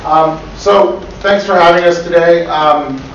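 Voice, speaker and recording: A middle-aged man with a loud voice giving a business presentation, with frequent ums, heard from across a conference room. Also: a little high, fairly even intonation, unhurried, slight background noise. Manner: loud, says so himself, with many ums. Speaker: startup co-founder and guest speaker. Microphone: far room camera mic. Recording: echoey room from far off, dull lo-fi.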